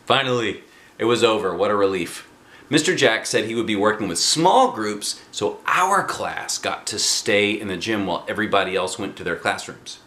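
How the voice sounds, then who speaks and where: A man, a dad, reading a children's book aloud with expressive, dramatic emphasis. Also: fairly low pitch, moderate pace, reverberant. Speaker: storybook reader, a dad.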